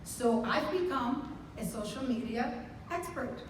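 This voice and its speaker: A woman's voice: a little high, animated, measured pace, background noise. A woman, speaking earnestly and proudly as a medical center CEO on a conference panel. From Dominican Republic. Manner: earnest and heartfelt, speaks with pride. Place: large conference hall. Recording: very echoey hall from far off.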